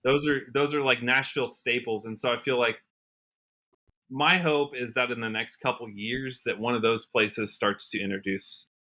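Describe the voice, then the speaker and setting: A man's voice: medium pitch, fairly even intonation, normal speed, sharp tone. A young American man talking casually and upbeat as a guest in an interview, peppering his talk with "like". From Washington state, United States. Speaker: podcast guest, country musician.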